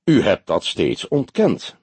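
A man's voice: on the low side, wildly swooping pitch, slow pace, a little mellow, breathy edge, no room sound, full character voice. A man voicing an old male police detective in an audiobook, sardonic and probing, with a curt, mocking manner. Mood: stern. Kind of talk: audiobook character dialogue.

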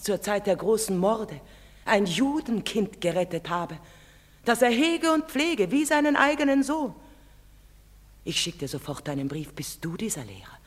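An actress playing a proud, bitter foreign mother in a theatrical, deliberate and declamatory manner. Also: lowish voice, breathy edge. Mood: bitter accusation. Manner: deliberate, declamatory, insistent questioning. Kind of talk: theatrical stage drama acting. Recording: reverberant theatre stage recording.

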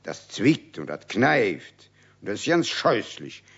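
Kindly craftsman, husky, good-natured, faltering, very animated pitch, children's radio play, dull old recording. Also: slow, full character voice. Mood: patient, amused. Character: kindly, placating, bumbling craftsman uncle figure.

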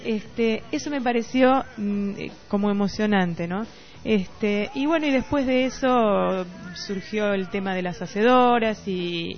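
An Argentine woman with a Rioplatense accent hosting a casual radio chat, informal and searching for her words. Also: mid-range voice. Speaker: radio host. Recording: dull lo-fi radio, faint music behind.

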